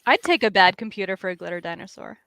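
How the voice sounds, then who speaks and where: A woman's voice: medium pitch, animated, fast, fairly bright tone, dry recording. A woman chatting casually with a playful, mock-serious way of joking. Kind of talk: casual banter.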